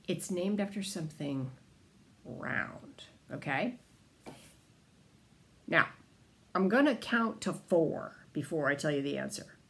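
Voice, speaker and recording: A middle-aged woman chatting casually to camera at home in a droll way with theatrical pauses, as an author giving trivia. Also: deep voice, animated. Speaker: children's author. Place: indoors, home room. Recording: faint room noise.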